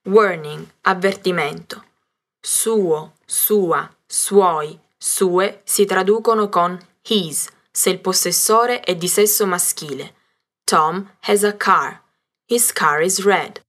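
Slow, low-voiced woman teaching a lesson. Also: a bit bright. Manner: slow, carefully spelled-out reading. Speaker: language teacher narrator. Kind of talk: scripted language lesson narration.

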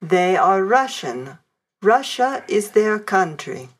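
A woman reading example sentences in a language lesson, slow and even. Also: mid-range voice. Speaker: course audio reader.

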